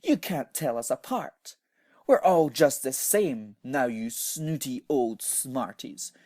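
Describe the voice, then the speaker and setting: A man with a Scottish accent voicing snooty, squabbling beach creatures from a children's story, declaiming loudly and indignantly. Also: mid-range voice, expressive, slow, no room sound, clean studio sound. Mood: indignant boasting.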